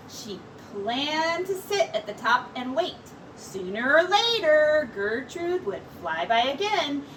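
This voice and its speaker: A woman teacher reading a picture book aloud in slow, expressive storytelling. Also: sits high, recorded from a distance, a little hiss or hum, poor audio.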